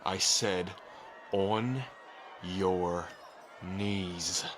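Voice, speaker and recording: Man acting an earnest, lowish-voiced, hot-headed rebel. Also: steady tone, very slow, a bit bright, some chatter behind, a little hiss or hum, heavily acted. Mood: angry, threatening. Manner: earnest, emphatic. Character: loyal, hot-headed young male rebel, protective and vengeful. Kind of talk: ASMR roleplay acting.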